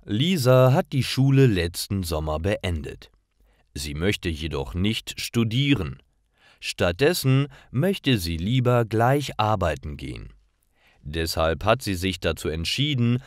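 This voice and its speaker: A man reads simple stories for language learners. His voice is fairly low and very slow, with lively intonation. Each sentence is carefully set apart. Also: dry recording, clean studio sound. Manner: careful, clearly separated sentences. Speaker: narrator. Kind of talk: scripted story narration for language learners.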